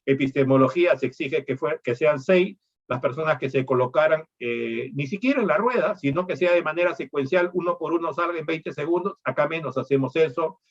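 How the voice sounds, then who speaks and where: A man's voice: a little high, brisk, few pauses, dull audio. A middle-aged man from Peru, lecturing on law and explaining things with rhetorical questions.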